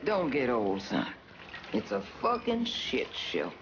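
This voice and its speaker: An actress playing a plainspoken, grumbling yet grateful elderly neighbor in a game. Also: female voice, sits low, wildly swooping pitch, takes it slowly, full character voice. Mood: wry, weary. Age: older. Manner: plainspoken, wry. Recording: muffled voice amid clear ambient noise.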